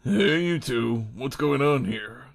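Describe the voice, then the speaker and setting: A man playing an excitable, chummy middle-aged man in an amateur audio drama. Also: mid-range voice, slow pace, gravelly. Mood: puzzled.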